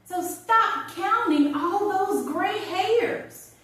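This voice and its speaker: A woman's voice: very high voice, a bit bright, pitched above the performer's own voice, heavily acted. Woman playing a wry older woman, very echoey. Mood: playful, wry. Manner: conversational, wry, with pauses and hesitations. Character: chatty, wry older woman reflecting on her birthdays. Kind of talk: theatrical audition monologue. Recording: distant, very echoey room.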